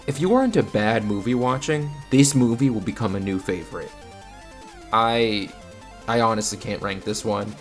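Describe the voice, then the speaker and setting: A man's voice: on the low side, normal speed. A man narrating a scripted film review in a casual, wry, opinionated way. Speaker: video host, film reviewer. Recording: voice-over with faint music. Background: faint background music.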